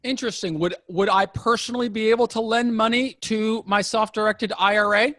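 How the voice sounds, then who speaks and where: A man in his fifties with a notably high voice, recorded dry with very little room sound. He speaks as a conversational interviewer, curious and deliberate. He often restates a point to check that he has it right. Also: steady tone, pushed and bright, no echo. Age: 50s. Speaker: interviewer asking about retirement investing.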